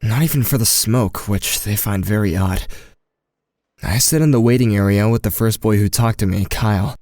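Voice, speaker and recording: A man's voice: on the low side, normal speed, darkish tone, no echo, clean studio sound, lightly acted. A man playing an anxious, shy teenage boy who narrates his own story in an audio drama. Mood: anxious, subdued. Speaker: narrator and lead actor.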